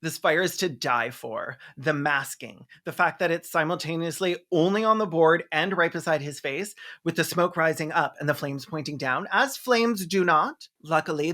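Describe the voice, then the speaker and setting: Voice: medium pitch, moderate pace, hardly any pauses, sharp tone. A video host giving sarcastic, mocking movie commentary in an incredulous, campy and slangy way. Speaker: video host, film reviewer.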